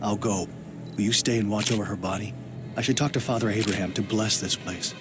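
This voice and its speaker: An actor playing a troubled, grieving brother in a video game, speaking in an earnest, emphatic way. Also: male voice, on the low side, steady tone, brisk, music in the background, natural voice. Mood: resolute. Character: troubled adult man, grieving brother, agitated and insistent. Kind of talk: dramatic game voice acting with narration.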